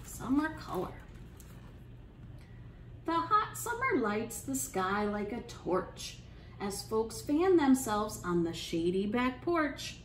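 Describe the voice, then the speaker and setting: A woman hosting an interactive children's storytime, speaking in an encouraging, sing-song way and pausing with questions for young listeners. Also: on the high side, sing-song, reverberant, a little hiss or hum, low-quality recording. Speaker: children's storytime librarian and host. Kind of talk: interactive children's storytime, reading aloud and leading songs.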